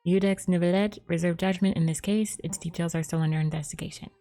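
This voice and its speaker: A woman's voice: on the low side, fairly even intonation, fast, dark tone, faint music behind. A woman game streamer casually reading game text aloud, stumbling over names as she goes.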